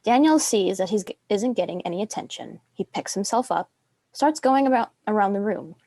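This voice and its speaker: A woman's voice: medium pitch, lively intonation, moderate pace, dark tone, no echo. A young adult woman reading scripted stage directions as a narrator.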